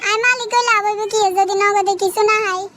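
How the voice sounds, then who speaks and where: A woman's voice: extremely high pitch, fairly even intonation, fast, loud music behind the voice. A woman voicing a gullible, demanding young cartoon wife with a Noakhali Bangladeshi accent and an insistent, nagging manner. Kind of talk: comedy cartoon dialogue.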